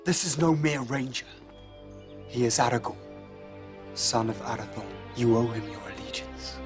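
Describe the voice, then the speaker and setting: A noble warrior played by a man makes firm declarations in epic fantasy film style. His voice is medium in pitch and earnest. Film music plays clearly behind it. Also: normal speed, close to an everyday voice. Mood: indignant, loyal.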